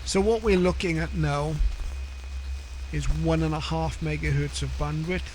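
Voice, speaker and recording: A man giving an unscripted, step-by-step tutorial as he walks through software on screen. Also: a little high, steady tone, measured pace, slight background noise, rough recording. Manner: explanatory, step by step. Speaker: hobbyist tutorial presenter.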